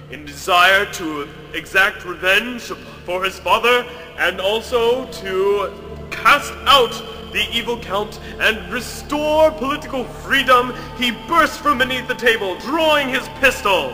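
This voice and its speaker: A man's voice: sits high, a little slow, pushed and bright. A man narrating a melodramatic story as scripted, in a theatrical, emphatic way. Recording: long reverb, music behind.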